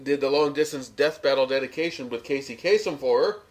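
A man's voice: mid-range voice, fairly quick. A man chatting casually as a podcast co-host, recapping a television episode.

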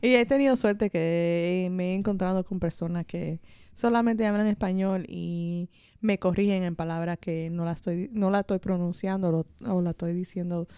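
A woman's voice: fairly low pitch, moderate pace, dark tone, clear, resonant tone, no echo, dull audio. A woman with a Dominican Spanish accent, chatting informally as a podcast co-host. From Dominican heritage. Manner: informal, frequently laughing. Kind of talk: casual conversational chat.

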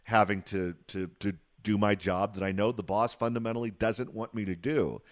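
A man's voice: fairly low pitch, fairly even intonation, moderate pace, no room sound, clean studio sound. A man hosting a radio talk show, interviewing a guest with long, conversational questions.

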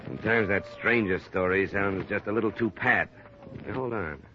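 An actor playing a cool, skeptical private investigator with an American accent and a clipped, probing manner, in a radio drama. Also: male voice, lowish voice, moderate pace, sharp tone, slight background noise. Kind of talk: 1950s radio drama acting and narration. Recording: muffled old radio recording.

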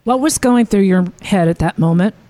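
A man's voice: sits high, moderate pace, mellow. A man interviewing a guest, asking short, probing questions.

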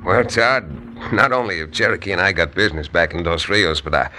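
A steady western hero. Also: male voice, low voice, brisk, a little hiss or hum. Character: steady young male frontier hero. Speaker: lead actor. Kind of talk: 1950s radio acting.

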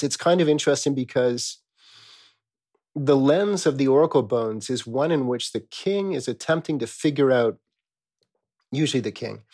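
Husky-voiced man explaining as an expert. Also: medium pitch, darkish tone. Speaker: academic expert, interviewee. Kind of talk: conversational scholarly interview.

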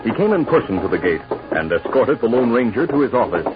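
A man's voice: mid-range voice, sing-song, fast. A man narrating a 1950s radio western in a formal, dramatic style. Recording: muffled old radio recording. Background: orchestral music.

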